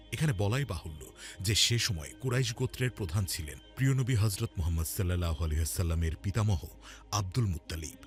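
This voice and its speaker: Deep-voiced animated male storyteller over music. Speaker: narrator. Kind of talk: scripted dramatic storytelling narration for a religious cartoon. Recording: music under the voice. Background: background music.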